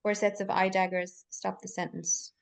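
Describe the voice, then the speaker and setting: Woman reading out screenplay directions, mid pitched narrator, live table read. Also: little pitch movement, normal speed, vocal fry, clear, resonant tone.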